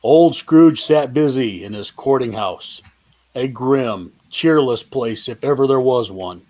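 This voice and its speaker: Guy narrating an old christmas tale, theatrical and deliberate, medium pitch. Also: darkish tone. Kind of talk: dramatic reading of a classic Christmas story.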